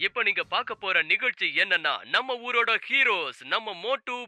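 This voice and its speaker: A man's voice: high voice, normal speed, bright, forceful tone, no room sound, soft background music. A man voicing an enthusiastic show announcer in a cartoon dub.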